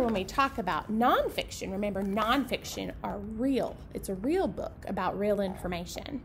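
A woman in her thirties presenting a storytime as a children's librarian, speaking simply to young children and their parents. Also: on the high side. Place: meeting room indoors. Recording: clear background noise.

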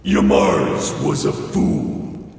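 An actor playing a booming, scornful godlike lord in a fantasy game, proclaiming with slow contempt. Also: male voice, wildly swooping pitch, gravelly, darkish tone, full character voice. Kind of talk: fantasy video game acting. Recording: heavily echoing hall, faint music.

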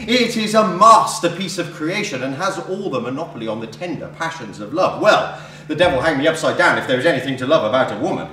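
A man's voice: fairly high pitch, lively intonation, quick pace, pushed and bright. A man acting a boorish, hot-tempered bill collector who scorns women, in a blustering, theatrical stage comedy style. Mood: scornful ranting. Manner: blustering, ranting, mockingly theatrical. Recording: very echoey room, distant mic.

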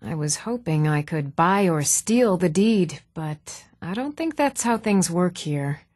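A woman playing poised, articulate townswomen and noblewomen in a fantasy video game, with measured, formal delivery. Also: fairly low pitch, animated, a little mellow, no echo, studio quality. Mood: confiding. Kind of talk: scripted fantasy game dialogue.

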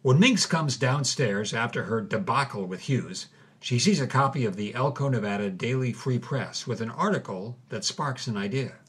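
A man's voice: medium pitch, animated, normal speed, fairly bright tone, husky. A man hosting a scripted podcast, narrating with dramatic emphasis and reading old documents aloud. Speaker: host.

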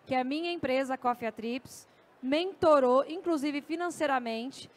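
High-voiced Brazilian woman speaking on stage. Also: moderate pace. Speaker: journalist and speaker. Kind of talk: conversational stage talk with anecdotes. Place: stage at an indoor festival. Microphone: handheld microphone.

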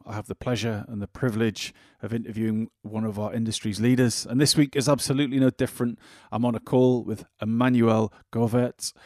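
Low-voiced male podcast host, dry sound. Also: darkish tone, dry recording. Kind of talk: scripted podcast introduction.

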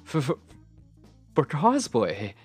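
A man's voice: medium pitch, wildly swooping pitch, slow pace, husky, soft background music, heavily acted. A man playing a shy, obsessive lovestruck man on a date in an ASMR roleplay, speaking in a hesitant, intimate, flustered way. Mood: awkward, bashful.

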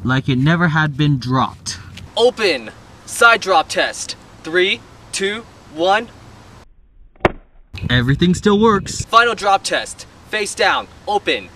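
A man's voice: sits high, big pitch swings, slow pace, bright, forceful tone, slight background noise. A young man hosting a jokey product-testing vlog, recorded outdoors on a camera mic. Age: young adult. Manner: playful, jokey, counts down drop tests. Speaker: YouTube host. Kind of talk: comedic product-test vlog. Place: outdoors in a dirt yard.

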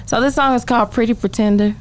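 High-voiced young woman. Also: steady tone, fast talker, a loud crowd. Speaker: guest singer. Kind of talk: casual podcast chat. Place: small podcast studio indoors. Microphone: close studio microphone on arm.

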